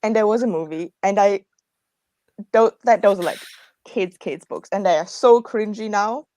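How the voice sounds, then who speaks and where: A woman's voice: mid-range voice, moderate pace, warm tone. A young adult woman chatting casually as a panelist, rambling informally and often correcting herself. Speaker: panelist in a writers' livestream. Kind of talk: casual chat.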